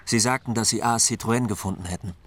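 Sceptical male bar owner, lower register, interview-style storytelling for radio drama. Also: fast talker, a bit bright, slightly rough, faint music behind. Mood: reflective, wry. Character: male bar owner recounting his friend's romance, sceptical.

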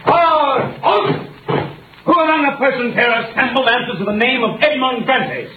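A man's voice: very high voice, animated, moderate pace, phone-quality sound. A man playing a stern, pompous officer barking official commands in 1930s radio drama style. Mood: commanding, official. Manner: shouted, clipped, official commands. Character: stern pompous police officer making an arrest. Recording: noisy distant echoey vintage radio recording.

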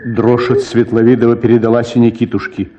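A man's voice: mid-range voice, level tone, fairly quick, lo-fi sound, background noise. A man narrating a literary short story for radio in a dramatic storytelling style. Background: music.

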